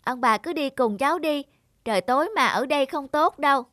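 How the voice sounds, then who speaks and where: A woman's voice: sits high, brisk, fairly bright tone, dry recording, clean studio sound. An actress playing a kind, caring young girl in a cartoon, speaking politely and earnestly. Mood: concerned, kind. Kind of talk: animated moral tale voice acting.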